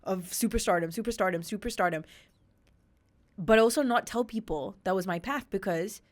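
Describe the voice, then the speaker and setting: Woman, thirties, from Australia, guest on a talk podcast. Earnest, animated storyteller with an average pitch. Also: fairly quick. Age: 30s. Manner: earnest, emphatic, animated retellings with laughs. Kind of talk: candid personal storytelling.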